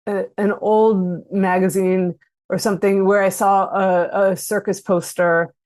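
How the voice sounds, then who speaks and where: A woman being interviewed as an author, chatting casually in a halting way, interrupting herself as she thinks aloud. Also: medium pitch, flat delivery, a little mellow.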